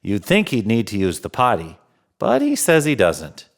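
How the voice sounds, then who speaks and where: A man's voice: lowish voice, huge pitch swings, moderate pace, creaky. A man reading a picture book aloud to young children as a playful storytime host, talking to them like friends. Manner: playful, chuckling, addressing young listeners as friends.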